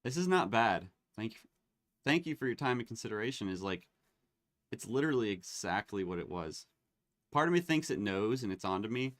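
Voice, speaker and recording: A man hosting a live online demo, chatting casually and getting excited as he tests tools. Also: on the low side, fairly quick, dry recording, studio quality. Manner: excitable, laughs and exclaims while testing tools.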